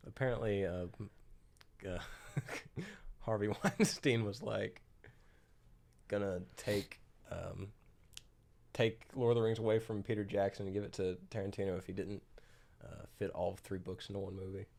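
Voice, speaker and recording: Young man with a gravelly low voice. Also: fairly even intonation, normal speed, a little mellow. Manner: laid-back, hesitant with fillers. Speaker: podcast co-host. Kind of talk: casual chat.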